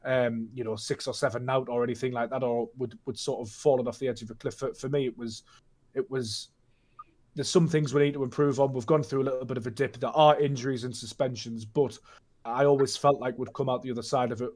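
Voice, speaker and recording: A man in the middle of the pitch range talks through football results as a guest. He is measured and reflective and often restarts mid-sentence. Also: fairly even intonation, darkish tone. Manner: thoughtful, with repeated restarts. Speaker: guest pundit. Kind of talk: casual football analysis.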